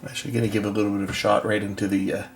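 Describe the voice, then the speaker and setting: Low-voiced man over background noise. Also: flat delivery, racing. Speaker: electronics repair technician and video host. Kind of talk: casual hands-on repair demonstration narration.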